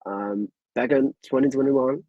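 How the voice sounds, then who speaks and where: A man's voice: medium pitch, measured pace, no room sound, phone-quality sound. A man whose native language is Dutch, giving a webinar presentation in a casual, hesitant way with many fillers. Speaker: guest presenter.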